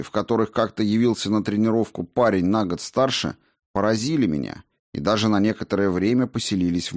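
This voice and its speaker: A man reading a first-person book aloud as scripted narration. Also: on the low side. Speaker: audiobook narrator.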